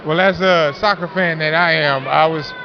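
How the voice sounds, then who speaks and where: A man's voice: a little high, fairly even intonation, normal speed, dull audio, slight background noise. A man co-interviewing outdoors, chiming in with short remarks and laughing often.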